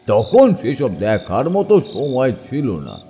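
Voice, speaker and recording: A man performs a haunted male witness in a horror audio drama. His delivery swings widely in pitch and breaks into halting, dread-filled pauses. Long reverb surrounds him, with music underneath. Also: huge pitch swings, warm tone, heavily acted. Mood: tense dread. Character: Abani, haunted man retelling a terrifying village ghost memory.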